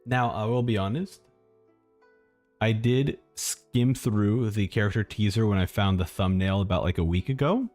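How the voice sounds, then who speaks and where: A man's voice: lowish voice, moderate pace, a little mellow, faint music behind. A man chatting casually and thinking aloud as a live streamer reacting to game trailers. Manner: rambling, informal, thinking aloud.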